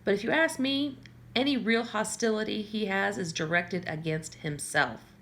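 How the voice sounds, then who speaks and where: A woman's voice: mid-range voice, normal speed, a bit bright. A woman reading a first-person novel aloud in a conversational way, now and then stumbling and correcting herself. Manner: conversational, with small slips and self-corrections. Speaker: audiobook reader.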